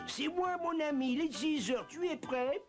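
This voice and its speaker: A man's voice: very high voice, animated, moderate pace. A man voicing a sly, greedy big bad wolf in a French children's cartoon, theatrical and wheedling. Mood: wheedling, falsely friendly. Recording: loud music behind the voice.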